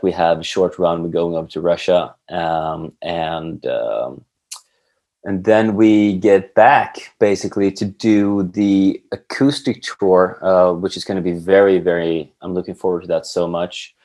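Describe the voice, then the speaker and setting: Male interview guest, low-pitched, casual musician talk with hesitant word-searching. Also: steady tone, measured pace, darkish tone. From Sweden. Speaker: band guitarist, podcast guest.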